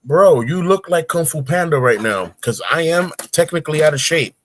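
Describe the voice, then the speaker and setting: Forty-something man telling lively stories. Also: fairly high pitch, normal speed, a little hiss or hum. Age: 40s. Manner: lively storytelling, acting out grunts and voices. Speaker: guest. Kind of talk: casual chat on a livestream talk show.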